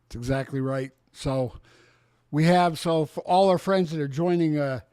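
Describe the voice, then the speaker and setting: A man's voice: fairly high pitch, dark tone, dry recording. A man in his sixties hosting a casual political livestream chat. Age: 60s. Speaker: talk show host.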